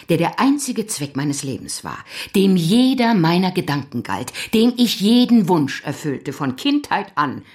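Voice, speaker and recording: An actress playing a proud, cold upper-class mother in a radio drama, formal and controlled, with a husky voice. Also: fairly low pitch, darkish tone, full character voice.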